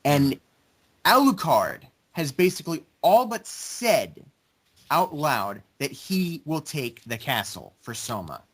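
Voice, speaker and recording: A man's voice: on the high side, big pitch swings, slow pace, a bit bright, husky. A man casually explaining game lore in an assertive way. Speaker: member of a gaming video group.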